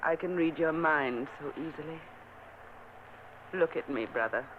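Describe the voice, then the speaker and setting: A woman playing a feverish, bitter dying noblewoman in a vintage radio play, intense and accusing. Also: a little slow. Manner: intense, accusing, rising to shrill cries. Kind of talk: 1940s radio acting. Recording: tinny old radio transcription with hiss.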